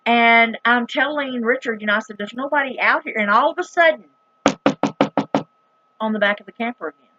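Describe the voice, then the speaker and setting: A woman's voice: on the high side, a little fast, long pauses, sharp tone, muffled recording, slight background noise. A middle-aged woman with a Southern US accent telling a story to her viewers in a casual, dramatic, emphatic and plain-spoken folksy way. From rural United States. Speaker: vlogger.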